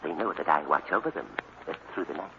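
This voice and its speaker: An actor playing an eccentric, eerie museum night watchman with slow, hushed, deliberate delivery in an old radio drama. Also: very deep voice, muffled recording. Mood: eerie, solemn. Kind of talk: 1940s radio acting. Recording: noisy, band-limited old radio recording.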